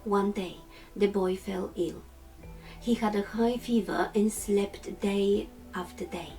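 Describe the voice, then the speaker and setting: A woman reading a children's storybook expressively, measured, with hushed phrase endings. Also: mid-range voice, steady tone, vocal fry, far from the mic, faint music behind, rough recording. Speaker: storytime reader.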